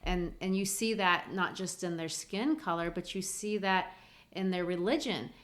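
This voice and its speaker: A woman's voice: lowish voice, steady tone, moderate pace. A woman, co-hosting a literary podcast and explaining things in an earnest way. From grew up in Brazil. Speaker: podcast co-host.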